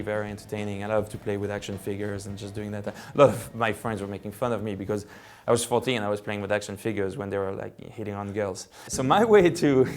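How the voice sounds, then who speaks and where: French-accented man, low voice, chatty. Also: darkish tone. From France. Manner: rambling, many fillers and restarts. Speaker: film director. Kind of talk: casual personal storytelling. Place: living room of his home.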